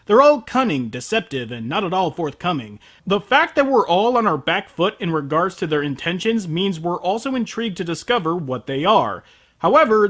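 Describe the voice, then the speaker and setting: A man's voice: high-pitched, a bit bright. A man delivering a scripted comedic review as a show host, with a sardonic, emphatic manner.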